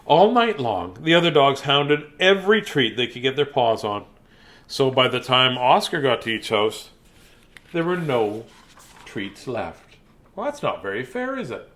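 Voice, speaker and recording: A man reading a children's bedtime story theatrically, with dramatic pauses and playful asides. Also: mid-range voice, very animated, a bit bright, a little hiss or hum.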